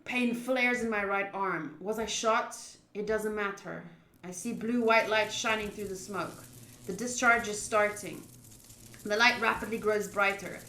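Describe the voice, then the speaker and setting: A woman streaming a visual novel playthrough, reading the narration aloud in an expressive, dramatic way with excitable interjections. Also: medium pitch, unhurried, a little hiss or hum. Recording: roomy echo.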